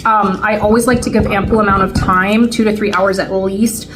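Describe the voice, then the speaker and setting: A woman's voice: medium pitch, steady tone, moderate pace, noisy background. A woman in her late forties co-hosting a vlog, chatting casually with lots of fillers and restarts. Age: 40s. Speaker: vlog co-host. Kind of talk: casual chat to camera.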